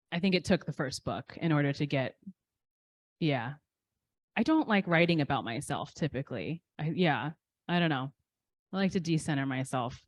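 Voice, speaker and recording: A woman in her thirties answers interview questions in a relaxed, candid way. She is self-deprecating and pauses often with ums. Her voice sits low, and the recording is close and dry with no room echo. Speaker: poet guest.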